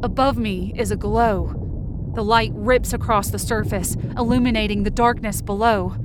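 A woman's voice: medium pitch, steady tone, normal speed, noisy background, lightly acted. A woman playing a panicked young woman, narrating a desperate scene in the first person with urgent intensity for a fiction podcast. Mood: tense, fearful. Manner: urgent, intense, breaking into cries.

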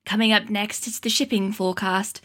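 A woman's voice: mid-range voice, fairly even intonation, brisk, fairly bright tone, close to an everyday voice. A woman playing a polished, earnest British radio continuity announcer making a formal broadcast link. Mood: polite, formal.

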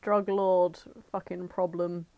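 A woman co-hosting a casual podcast, blunt and sweary with deadpan asides. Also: on the low side, slow pace, dry recording, narrow, tinny audio. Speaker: podcast co-host. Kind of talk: casual chat.

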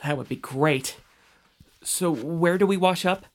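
A man's voice: a little high, measured pace, lightly acted. Earnest young man acted in a biblical drama. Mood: polite. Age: young adult. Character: earnest, faithful young man of biblical times seeking a bride. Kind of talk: full-cast audio drama acting.

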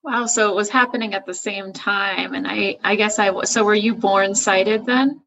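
A woman's voice: medium pitch, steady tone, normal speed, creaky, a bit bright, rough recording. A woman hosting a podcast, asking questions in a conversational interview style. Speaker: podcast host interviewing a guest.

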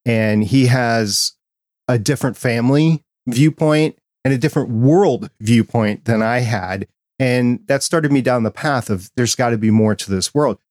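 A man's voice: mid-range voice, a little slow, a little mellow, no room sound, studio quality. A man with a Midwestern American accent, hosting a podcast chat and explaining his views in an earnest, reflective way. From Midwestern United States. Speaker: podcast host. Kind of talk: casual interview chat.

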